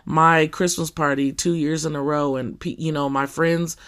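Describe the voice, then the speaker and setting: Scratchy kinda deep voice, podcaster just chatting, lots of ums and restarts. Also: flat delivery, a bit bright. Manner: halting, with many fillers and restarts. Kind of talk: casual personal monologue.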